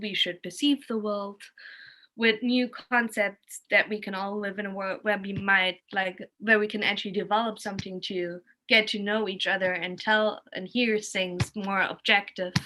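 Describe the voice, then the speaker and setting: Bright-voiced woman with a German accent. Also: medium pitch, low-quality recording. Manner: emphatic, opinionated, informal with swearing. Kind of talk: casual, animated conversation.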